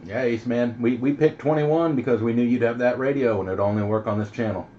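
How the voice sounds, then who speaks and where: A man's voice: medium pitch, fairly quick. A middle-aged man chatting casually and in a folksy, easygoing way as host over CB radio. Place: home radio base station. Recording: dull, noisy CB radio audio.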